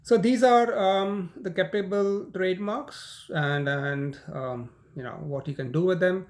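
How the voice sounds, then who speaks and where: A man's voice: sits high, a little slow. A middle-aged man with an Indian accent gives a law lecture in a conversational way, often saying "um" and "you know" and repeating words as he goes. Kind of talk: recorded university lecture.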